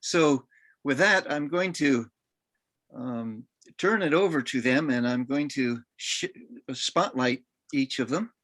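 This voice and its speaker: A man hosting a roundtable, giving informal introductions in a somewhat halting way. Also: medium pitch, fairly bright tone, dry recording. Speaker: roundtable host. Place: online video meeting.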